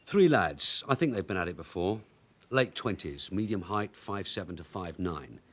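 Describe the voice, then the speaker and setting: Radio crime drama: wry veteran detective, London accent, fairly deep, informal and knowing. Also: male voice, animated, normal speed. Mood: businesslike. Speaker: detective sergeant. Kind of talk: British radio drama acting.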